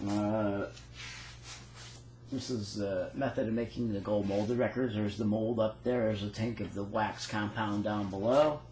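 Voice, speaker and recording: A man casually showing his notes and reading out dates and patent text, with many pauses. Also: on the low side, darkish tone, echoey room, a little hiss or hum, poor audio. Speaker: phonograph cylinder maker. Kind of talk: casual show-and-tell walkthrough.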